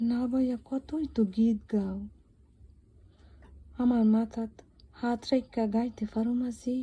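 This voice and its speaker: Female poetry reciter, rural eastern Bangladeshi dialect. Very mellow, higher-pitched, husky voice, dramatic and pleading, close and tender in delivery. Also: dull audio. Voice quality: soft, breathy, husky. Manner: wistful, intimate, pleading delivery. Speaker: poet reciting own poem.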